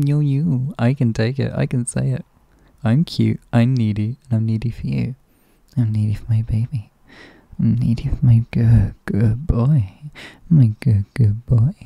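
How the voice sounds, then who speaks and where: A man voicing an affectionate, teasing partner in an intimate roleplay, speaking softly and often in a whisper. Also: mid-range voice, vocal fry, dry recording. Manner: soft, often whispered, coaxing, chuckling. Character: affectionate teasing male partner, doting, playful. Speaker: audio roleplay performer.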